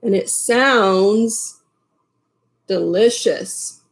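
A middle-aged woman reading a picture book aloud expressively, in an unhurried way, with casual asides to young listeners. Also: medium pitch. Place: small furnished room at home, indoors. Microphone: webcam at desk distance.

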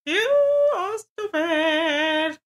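A woman's voice: very high-pitched, extremely slow, a bit bright, exaggerated voice. A woman voicing a whiny, goofy, childish video game character in a comedic fan dub, drawing out the words. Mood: taunting.

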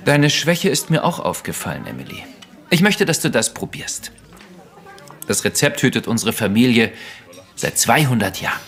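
An actor playing a gentle, devoted friend of an old lady in a crime radio play, considerate and soft-spoken. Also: medium pitch, big pitch swings, moderate pace. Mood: caring.

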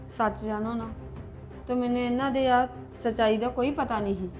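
A woman's voice: a little high, a little mellow, natural voice. A woman playing a deceived, remorseful young mother in a family drama, earnest and apologetic.

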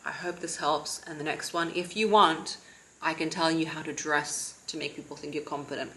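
A woman in her thirties giving casual advice to camera from her living room sofa, in a calm, steady, self-described monotone. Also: low voice, normal speed, rough recording. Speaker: vlogger and confidence coach.

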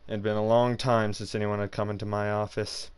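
A man voicing a world-weary fifties noir private detective, narrating slowly in a low, subdued, gravelly voice. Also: flat delivery, natural voice. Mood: weary, brooding. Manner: slow, brooding hardboiled narration.

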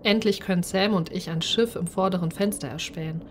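A woman narrating a first-person story in an expressive, dramatised way. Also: on the low side, brisk, a little hiss or hum. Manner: expressive, acts out the character's speech. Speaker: narrator and player of a solo role-playing game.

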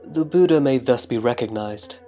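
Female actor as reverent disciple addressing a master, extremely low pitch, measured delivery, scripture drama, background music. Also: animated, dark tone. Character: Subhuti, respectful disciple questioning the Buddha. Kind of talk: audio drama scripture reading.

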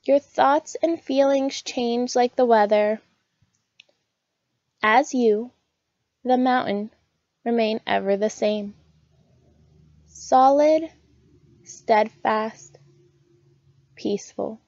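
A woman narrating a scripted guided meditation in a soothing, gentle, unhurried manner. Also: a little high, no room sound, muffled recording.

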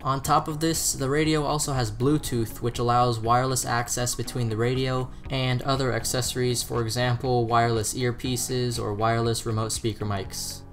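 A man's voice: mid-range voice, little pitch movement, normal speed, few pauses. A man presenting a scripted product review as narration. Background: background music.

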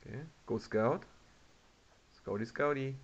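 A man's voice: mid-range voice, unhurried, creaky. A man giving casual gameplay commentary, reacting aloud and muttering to himself as he plays. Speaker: gamer. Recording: muffled lo-fi mic, background noise.

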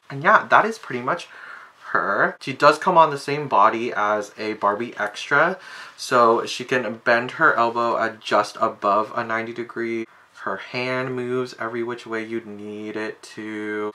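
A young man casually reviewing a collector doll in his bedroom, chatty and full of fillers, with sudden excited jumps in pitch. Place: bedroom, indoors. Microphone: webcam framing at desk.

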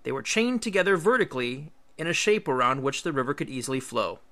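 A man's voice: mid-range voice, lively intonation, fast, a bit bright. A male teacher reading an illustrated history book aloud, with emphatic, instructional phrasing.

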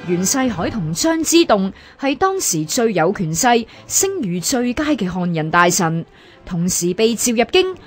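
Female radio history narrator, Hong Kong Cantonese. Formal read delivery, mid pitch with plenty of melody. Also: big pitch swings, unhurried, soft background music. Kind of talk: scripted narration.

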